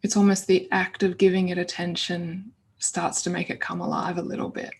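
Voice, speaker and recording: A woman guides a meditation in an informal, soothing manner. Her voice is husky and breathy, with plenty of creak at the edges. She speaks without hurry and often lets phrases fade to a near-whisper. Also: on the low side, level tone, normal speed.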